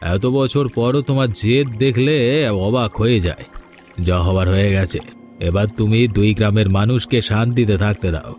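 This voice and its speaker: A man's voice: on the low side, moderate pace, a little mellow, natural voice. A man playing a plain-spoken, pushy village farmer in a scripted cartoon story, with music under the voice. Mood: pushy, threatening.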